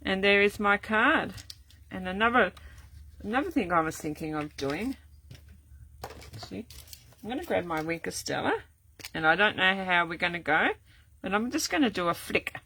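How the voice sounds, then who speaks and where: An older woman, chatting casually through a live card-making demo, rambling with many ums and restarts, her voice going croaky. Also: medium pitch, lively intonation, normal speed, long pauses, pushed and bright, a little hiss or hum. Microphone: camera set up at desk.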